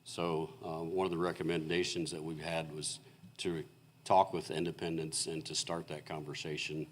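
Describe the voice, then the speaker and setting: Very low-voiced man, voice going hoarse. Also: level tone, slight background noise. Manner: halting and explanatory, many fillers and restarts. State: losing his voice. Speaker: consulting engineer presenting to a city board. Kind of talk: technical presentation at a public meeting. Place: city council meeting room.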